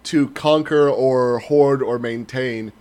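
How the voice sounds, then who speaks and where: A man's voice: mid-range voice, steady tone, takes it slowly, a little mellow. Blunt, emphatic man podcaster. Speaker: podcast co-host. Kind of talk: casual opinionated discussion.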